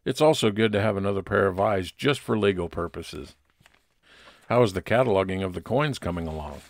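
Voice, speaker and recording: A man's voice: low voice, brisk, creaky, no echo. A man narrating a scripted first-person private investigator story in old-time radio detective style.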